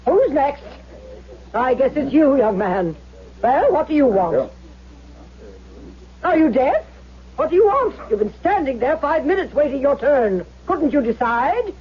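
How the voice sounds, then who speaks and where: A woman's voice: sits high, big pitch swings, a little slow, long pauses. A woman playing a brusque, impatient, mocking bakery shopkeeper in a 1970s radio drama, with a jeering manner. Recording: muffled old radio recording, noise, crowd.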